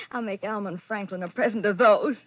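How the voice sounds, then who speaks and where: An actress playing a devoted, urgent, sharp-tongued young sweetheart in a melodramatic 1940s radio play. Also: female voice, on the high side, huge pitch swings, normal speed, breathy edge, full character voice. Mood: loving, excited. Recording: dull lo-fi old radio recording.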